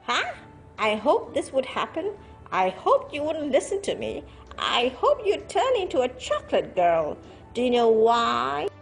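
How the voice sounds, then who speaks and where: A woman's voice: high-pitched, huge pitch swings, unhurried, vocal fry, soft background music, voice pushed up, exaggerated voice. A woman voicing a strict, scolding female health teacher in a storybook, a secretly greedy villain, emphatic and theatrical. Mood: gloating glee.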